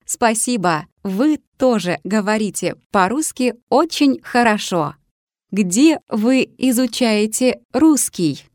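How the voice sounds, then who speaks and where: A woman reading lesson dialogues aloud in a measured, carefully articulated way. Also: fairly high pitch, lively intonation, darkish tone, no echo, clean studio sound. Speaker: language teacher.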